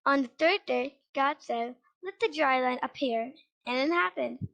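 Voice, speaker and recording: A female reader with a high voice reading a children's Bible story aloud, halting now and then over words. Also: gravelly, a bit bright.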